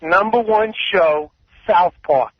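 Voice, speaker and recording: A man's voice: sits high, takes it slowly, dry recording. A man talking casually as a caller to a radio show. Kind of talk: casual call-in talk. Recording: dull, muffled radio call.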